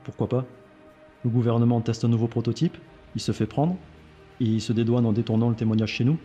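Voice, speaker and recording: A man acts out a cynical, suspicious intelligence agent in an audio drama. He talks fast in a relaxed, dark tone, dry and sardonic. Faint music plays behind him. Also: mid-range voice, natural voice. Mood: suspicious, weary. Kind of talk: French audio fiction dialogue.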